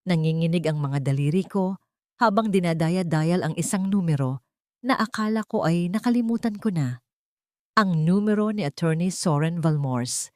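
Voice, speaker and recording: Give me a woman with a low voice reading a tense first-person drama, dry studio sound. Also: a little mellow, no echo. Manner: tense, emotional storytelling with quoted dialogue. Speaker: storyteller.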